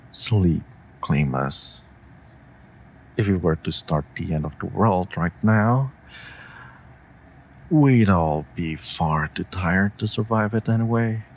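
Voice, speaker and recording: A man's voice: deep voice, lively intonation, a little hiss or hum, full character voice. A man playing a stoic, protective wolf familiar in an intimate comfort audio roleplay, teasing drily and tenderly. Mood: sleepy, wry. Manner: slow, dry, teasing.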